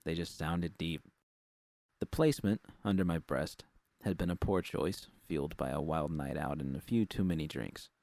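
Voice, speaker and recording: Male audiobook narrator for first-person fiction: deep, fry-edged voice, dry studio-like sound. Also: moderate pace, darkish tone, dry recording.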